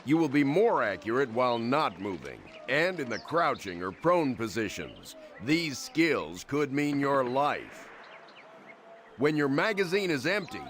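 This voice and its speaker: An actor playing a stern, no-nonsense World War II army sergeant with an American accent, instructing a recruit in a military game. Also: male voice, on the high side. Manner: commanding, no-nonsense. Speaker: weapons training sergeant. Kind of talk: military game tutorial dialogue. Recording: noisy, gunshots and clicks behind.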